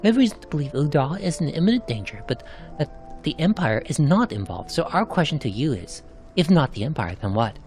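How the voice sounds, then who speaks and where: A man voicing a courteous, earnest investigator in a fantasy game, with deliberate formal speech. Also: on the high side, very animated, a little mellow. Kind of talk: amateur narrated fantasy game cutscenes. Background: music.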